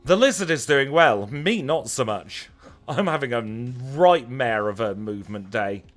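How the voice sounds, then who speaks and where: A man's voice: medium pitch, very animated, moderate pace. A man with a Lancashire accent chatting casually as he streams a video game, wry and self-deprecating. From Lancashire, northern England. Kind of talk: casual livestream chat over gameplay.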